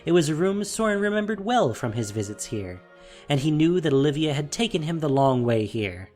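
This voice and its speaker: A man narrating a scripted fantasy story in a measured, dramatic way. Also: on the high side, big pitch swings, darkish tone, faint music behind.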